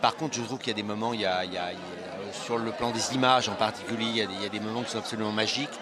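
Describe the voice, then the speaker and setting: A man at a film festival giving a measured, articulate opinion with some restarts. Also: on the low side, steady tone, crowd noise. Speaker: festival-goer. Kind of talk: vox-pop answer.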